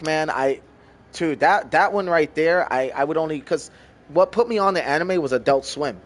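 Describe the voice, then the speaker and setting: A man in his thirties streaming a game, chatting loosely with viewers, calling them bro and swearing freely. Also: fairly high pitch. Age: 30s. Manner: loose and profane, talks to chat as bro. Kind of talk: casual live-stream chat.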